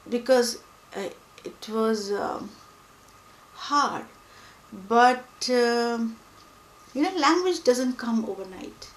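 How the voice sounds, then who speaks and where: A young woman whose first language is Hindi, talking reflectively and pausing often with fillers. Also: fairly high pitch. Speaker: book vlogger. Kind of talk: reflective personal talk to camera. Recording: echoey room with faint ambience.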